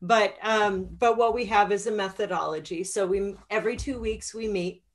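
A woman's voice: mid-range voice, steady tone, normal speed. A woman in her forties, an author answering questions conversationally on a video call from home. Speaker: author guest. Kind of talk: conversational book-event Q&A. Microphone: video call computer mic.

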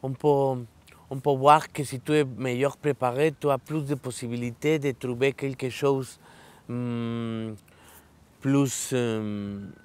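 Earnest Mexican man, slow halting French. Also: medium pitch, steady tone, a little hiss or hum. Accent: Mexican Spanish. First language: Spanish. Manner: earnest, halting, with frequent restarts and fillers. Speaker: adult literacy student. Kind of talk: filmed testimonial interview. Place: outdoor riverside park, at a picnic table.

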